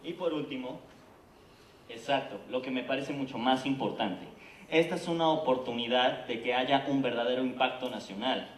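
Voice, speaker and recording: A man with a Mexican Spanish accent makes an earnest, persuasive pitch at a congress and keeps asking the room if it agrees. His voice sits at an ordinary pitch and comes from slightly off the mic in an echoey hall. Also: normal speed, distant mic, a little hiss or hum. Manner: earnest, persuasive, frequent "¿no?" and "¿de acuerdo?". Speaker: conference speaker presenting a theater project. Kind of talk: formal presentation at a congress. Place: conference hall.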